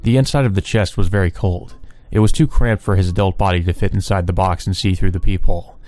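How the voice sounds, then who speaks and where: A man's voice: deep voice, steady tone, fast talker, darkish tone, no echo. A man reading a visual novel aloud as the host of a let's play. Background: background music.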